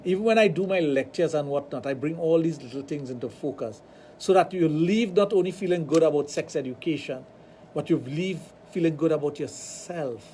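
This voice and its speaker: A man's voice: a little high, a little fast, a little hiss or hum. Trinidadian man telling stories on radio. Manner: animated storytelling, acts out dialogue. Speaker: radio show host, sexologist. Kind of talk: radio talk show chat.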